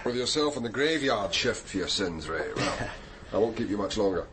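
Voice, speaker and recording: An actor playing a brisk, courteous senior police detective, in naturalistic radio drama. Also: male voice, fairly low pitch, lively intonation, noisy background, close to an everyday voice. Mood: businesslike.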